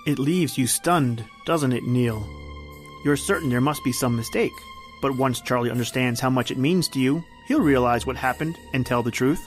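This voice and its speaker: A man's voice: medium pitch, moderate pace, faint music behind, natural voice. A man playing a knowing, taunting narrator in a scripted suspense radio drama. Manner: insinuating, knowing. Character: ominous, taunting male narrator addressing the hero.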